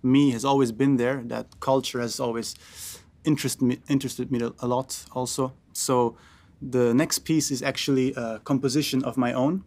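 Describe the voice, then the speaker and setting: A man's voice: mid-range voice, fairly even intonation, moderate pace, a little mellow. A young man from Austria, a cellist and composer, speaking informally to a concert audience between pieces, with fillers and restarts.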